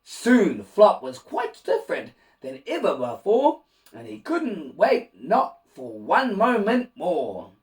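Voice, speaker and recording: Animated man reading a children's story. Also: sits high, slow pace, distant mic. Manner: animated, with asides and questions to young listeners. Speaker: children's storybook reader and host. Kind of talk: expressive read-aloud of a rhyming children's picture book.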